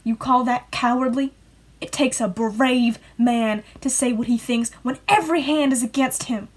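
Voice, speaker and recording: A woman's voice: on the high side, moderate pace, lightly acted. A woman playing a bitter, folksy small-town sister who nags and accuses, in an amateur play acted over video call. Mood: bitter, accusing. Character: bitter, defiant small-town woman nagging her brother. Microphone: video call microphone.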